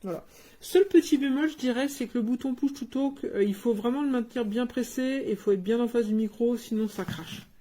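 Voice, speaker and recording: A woman's voice: on the high side, a little fast, dark tone. A woman giving an informal, hands-on product review, plain-spoken and thinking aloud as she demonstrates.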